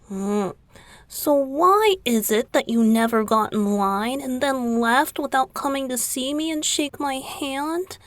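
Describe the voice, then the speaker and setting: A woman voicing a young male idol boyfriend in a romantic ASMR roleplay, tender and clingy, speaking in an intimate, hesitant, breathy whisper. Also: high-pitched, no echo, full character voice. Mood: hurt, pouty. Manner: soft, hesitant, pleading, confiding.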